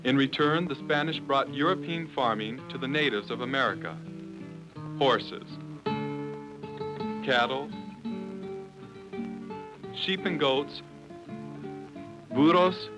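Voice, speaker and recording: A man narrates a documentary in the first person, earnest and declamatory. His voice sits at an ordinary pitch for a man. Guitar music sounds clearly under him.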